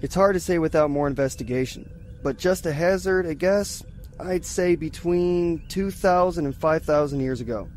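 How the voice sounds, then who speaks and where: Eager scholarly male science officer, higher-voiced actor. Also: moderate pace, a little mellow, faint music behind, natural voice. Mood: reporting, focused. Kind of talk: science fiction audio drama acting.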